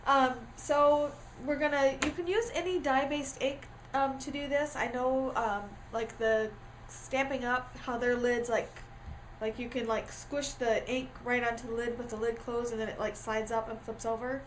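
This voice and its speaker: Woman, high voice, congested from a cold, chatty and full of filler words, camera-mic sound with faint ambience. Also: steady tone, normal speed, slight background noise. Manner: chatty with frequent ums and uhs. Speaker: craft tutorial host. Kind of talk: casual craft tutorial.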